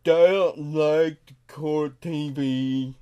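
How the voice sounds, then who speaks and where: A man playing a childlike, confused, easily upset grown man on a prank call, halting and repeating words insistently. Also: fairly high pitch, steady tone, mellow, clear, resonant tone. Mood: timid, plain.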